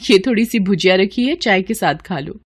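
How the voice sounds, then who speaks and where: A woman playing a gentle, self-sacrificing poor wife and mother in a cartoon story. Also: medium pitch, animated, normal speed, husky. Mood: gentle, reassuring. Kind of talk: Hindi cartoon story voice acting.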